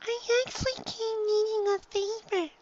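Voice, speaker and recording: A man's voice: extremely high pitch, level tone, a little slow, highs cut off, a little hiss or hum, voice pushed up. A man voicing an earnest little unicorn filly with a hesitant, imploring manner in a storybook reading. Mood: earnest, pleading.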